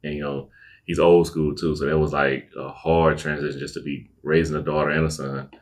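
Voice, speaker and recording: A young man hosting a podcast interview, relaxed and casual, with lots of short affirmations. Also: very deep voice, brisk. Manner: laid-back, frequent affirmations like "okay, okay" and "I got you". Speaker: podcast host.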